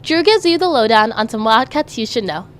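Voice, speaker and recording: A woman hosting a school news show, reading scripted introductions in an upbeat, clear way. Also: on the high side, animated, a little fast, slight background noise. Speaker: student news host.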